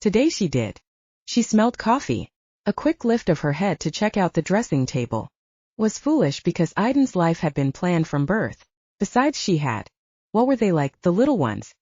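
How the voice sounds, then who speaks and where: A woman audiobook narrator reading scripted fiction in an expressive storytelling manner. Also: fairly low pitch, moderate pace, slightly rough, no room sound, dull audio.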